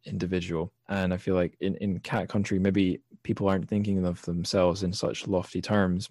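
A man's voice: sits low, a little mellow, no echo, studio quality. A man hosting a podcast, casually discussing a book in a reflective, analytical way. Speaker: podcast host.

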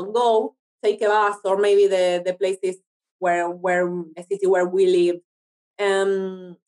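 Woman, halting conversational delivery. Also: mid-range voice, darkish tone, poor audio. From Argentina. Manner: halting, searching for words. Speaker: interview guest, actress. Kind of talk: conversational interview.

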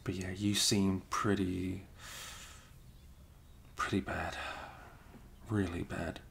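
A man doing an improvised ASMR roleplay as a best friend, soft-spoken and hesitant, slipping into whispers, close to the microphone. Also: low-pitched, level tone, slow pace, creaky, a little hiss or hum.

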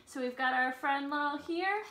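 Bright, high-voiced young woman teaching kids. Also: moderate pace, poor audio. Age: young adult. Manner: expressive, addressing young children with simple questions and exaggerated emphasis. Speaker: children's music teacher. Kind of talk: children's lesson and picture book read-aloud. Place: home room with an upright piano.

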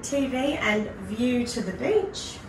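A woman's voice: fairly high pitch, lively intonation, slow pace. A middle-aged Australian woman giving a casual, conversational and enthusiastic walk-through tour as a presenter. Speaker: presenter of a prize home tour. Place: walking through hard-surfaced apartment rooms. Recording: roomy echo, distant mic, background noise.

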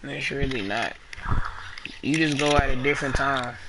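Gravelly-voiced eighth-grade boy, sarcastic. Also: mid-range voice, normal speed. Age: teen. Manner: bickering, exasperated, sarcastic. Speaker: gamer on party chat. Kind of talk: casual gaming banter. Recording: noisy party chat.

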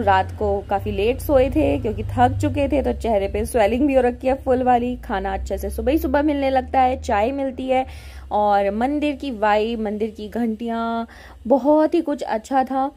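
A young woman narrating her travel vlog in a casual, chatty way, with filler pauses. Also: fairly high pitch, mellow. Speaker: travel vlogger.

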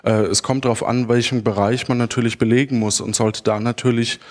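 A man in his thirties with a stuffy head cold speaks informally and haltingly on stage into a handheld microphone, as a podcast creator answering an audience. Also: medium pitch, flat delivery. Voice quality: congested from a cold. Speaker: podcast creator giving a conference talk. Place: auditorium stage.

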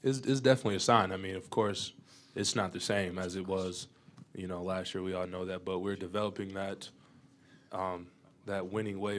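A man's voice: sits low, a little hiss or hum. A young man, a college basketball player, answering questions at a postgame press conference with a confident, playful manner, speaking into a table mic. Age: young adult. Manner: confident, playful, talks in long runs. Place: press conference room with sponsor backdrop. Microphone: table mic in front.

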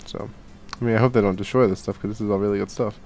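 A man's voice: on the low side, fairly even intonation, racing, darkish tone, soft background music. Rambling young man with a cold. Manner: rambling, many fillers and restarts. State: catching a cold. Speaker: gaming channel host. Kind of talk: casual Minecraft let's play commentary. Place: home gaming room, indoors. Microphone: headset and studio mic at desk.

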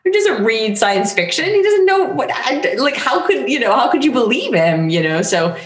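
Middle aged woman chatting in an interview, kinda rambly and animated, roomy echo. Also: fairly high pitch, moderate pace. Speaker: guest playwright. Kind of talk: casual interview talk. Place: book-lined room at home, indoors. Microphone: shared desktop microphone on video call. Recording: roomy home recording.

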